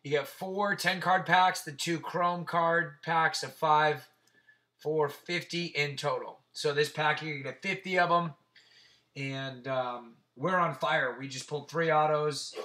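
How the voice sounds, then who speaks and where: A man with a raspy, sore throat hosting a casual card-breaking stream, chatting and swearing as he reels off player names. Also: a little high, sharp tone. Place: tabletop card-breaking setup indoors.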